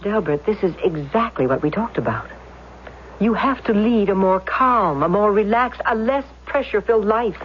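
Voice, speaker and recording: An actress playing an insistent, solicitous wife who coaxes and presses with questions in a 1970s radio drama. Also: female voice, medium pitch, lively intonation, slight background noise, close to an everyday voice. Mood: coaxing, concerned. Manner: coaxing, deliberate, pressing with questions. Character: insistent, solicitous, probing wife managing her troubled husband. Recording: dull vintage radio recording.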